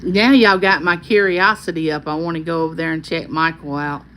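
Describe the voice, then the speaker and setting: A woman with a Southern Arkansas accent hosts a casual livestream, reading viewer comments aloud and answering each by name in a calming voice. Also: low voice, brisk, rough recording. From California. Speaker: livestream host.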